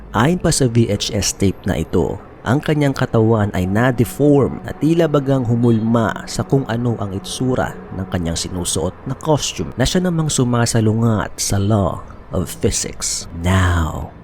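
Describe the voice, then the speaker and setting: A Filipino man narrates in a lowish, lively voice with wide swings in pitch. He tells it like a scripted horror explainer, slipping into English phrases, with a faint noisy ambience behind him. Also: measured pace. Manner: storytelling, switches between Tagalog and English phrases. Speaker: YouTube narrator.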